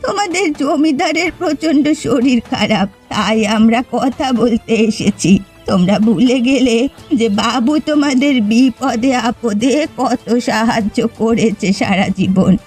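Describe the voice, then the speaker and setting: A very high-pitched village housewife in a cartoon drama played by a woman. Her delivery is emphatic and theatrical, with faint music underneath. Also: animated, unhurried, darkish tone, slightly rough. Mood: worried, reproachful. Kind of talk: dramatic Bengali cartoon voice acting.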